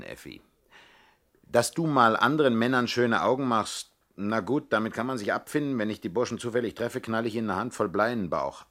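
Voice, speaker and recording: Cocky crook for radio comedy: highly animated, swinging from smooth gentleman to jealous menace. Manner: swaggering, menacing, mock-gentlemanly. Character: cocky jealous male gangster, charming pickpocket turned crook. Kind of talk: 1960s German radio comedy crime acting.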